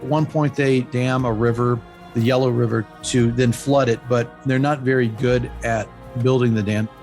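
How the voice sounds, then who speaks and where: A man's voice: mid-range voice, fairly even intonation, moderate pace, a little mellow, soft background music. A man speaking as a history expert, explaining events in an informal, plain-spoken storytelling way. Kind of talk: conversational explanation.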